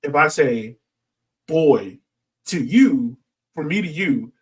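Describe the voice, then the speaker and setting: A man's voice: a little high, expressive, slow. Man a little far from the mic. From southern United States. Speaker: sports podcast speaker. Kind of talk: casual discussion. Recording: distant from the mic.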